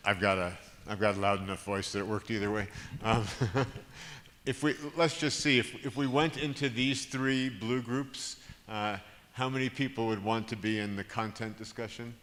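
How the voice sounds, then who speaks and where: A man's voice: fairly low pitch, fairly even intonation, moderate pace, a bit bright. A man moderating a summit discussion in a large conference room, talking with frequent restarts and chuckles. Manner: hesitant, restarts often, chuckles. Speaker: panel moderator. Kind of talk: organizing a group discussion. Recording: roomy hall reverb, background hiss.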